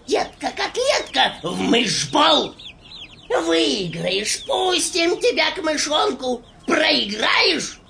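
A woman voicing a haughty, taunting boss mouse in a children's cartoon, with drawn-out, imperious delivery. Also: very high-pitched, vocal fry, sharp tone, slight background noise, heavily acted. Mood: haughty challenge. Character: haughty, mocking boss mouse of the field mice.

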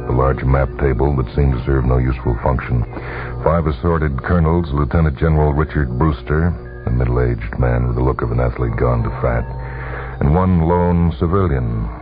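A man narrating a literary story for radio with dramatic, deliberate phrasing. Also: extremely low pitch. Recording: tinny vintage radio broadcast, music behind. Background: music.

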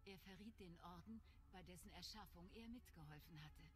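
A woman voicing a calm Jedi master. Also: fairly low pitch, lightly acted. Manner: measured, instructive. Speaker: game character. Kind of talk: dubbed game dialogue. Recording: game audio with music behind.

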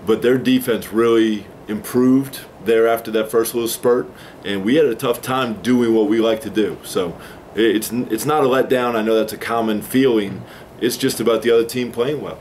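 A man in his forties, a head coach, giving measured, explanatory postgame answers at a press conference table. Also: medium pitch, a little mellow, slight background noise. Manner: measured, explanatory, takes responsibility. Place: small press room with a backdrop, indoors.

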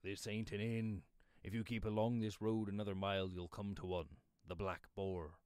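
A man voicing a gruff but kindly yeoman father in a storybook reading, with blunt, brisk, rustic speech. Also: fairly low pitch, flat delivery, darkish tone, no echo, clean studio sound, lightly acted. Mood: curt, firm. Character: gruff, kindly, quick-speaking yeoman father, brusque and dismissive. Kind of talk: audiobook character voice.

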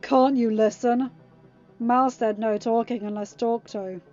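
A woman voicing a fierce, loyal young male pirate in a narrated story, threatening and quick to snarl. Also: on the high side, dull audio, faint music behind, natural voice. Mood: snarling, protective. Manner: growling, threatening.

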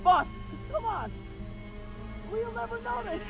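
Very high-pitched desperate henchman. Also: expressive, takes it slowly, faint music behind, heavily acted. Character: desperate, pleading male henchman. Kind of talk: video game cutscene acting.

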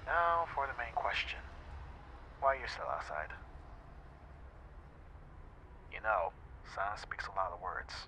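A man's voice: fairly low pitch, sing-song, normal speed, sharp tone, a little hiss or hum, heavily acted, poor audio. A young man acting as an easygoing, teasing best friend in a casual, intimate ASMR roleplay.

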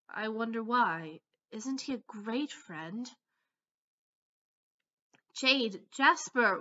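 A woman voicing a concerned, loyal female cat with a British accent, in an earnest, deliberate, dramatic amateur audition style. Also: medium pitch, pushed and bright. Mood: indignant. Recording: dull, lo-fi home recording.

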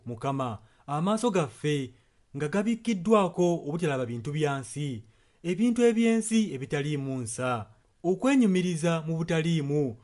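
A man's voice: fairly high pitch, darkish tone. A man interpreting a sermon and prayer solemnly, phrase by phrase. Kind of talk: consecutive translation of a sermon and prayer.

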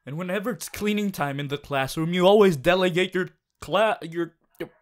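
A man's voice: high voice, moderate pace, lightly acted. A man voicing a strict, earnest teenage class president, formal and preachy, in an audio roleplay. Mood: flustered, exasperated. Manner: formal, preachy, exasperated, stiff phrasing. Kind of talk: ASMR character audio roleplay monologue.

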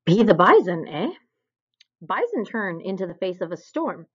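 Woman reading as a wise, animated storybook fox. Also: medium pitch, moderate pace, husky. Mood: knowing, instructive. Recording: dull, lo-fi recording.

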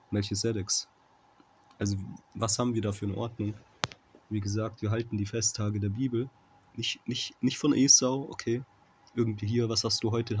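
A man giving an informal Bible commentary in a halting manner, often checking in with a short tag question, on a noisy amateur recording. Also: lowish voice. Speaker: Bible teacher.

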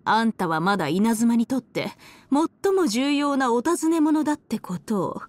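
A woman playing a haughty, cruel, elegant villainess in a Japanese anime game, with a condescending, mocking, drawn-out aristocratic delivery. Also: fairly high pitch, expressive, no echo. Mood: contemptuous, threatening. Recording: studio voice over game music.

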